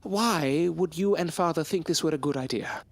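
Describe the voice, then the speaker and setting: A man plays a young period-drama gentleman, a bookish and headstrong student. His diction is formal and stiffly polite. The sound is close and dry. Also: on the high side, moderate pace, no room sound. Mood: exasperated, defiant. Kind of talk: period audio drama acting.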